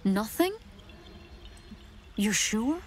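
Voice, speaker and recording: A woman's voice: fairly high pitch, big pitch swings, extremely slow, darkish tone, faint music behind, exaggerated voice. A woman playing a stern, worried healer in a video game, speaking in a grave and insistent manner. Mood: brisk, probing. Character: stern, worried female healer druid's apprentice. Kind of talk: video game dialogue acting.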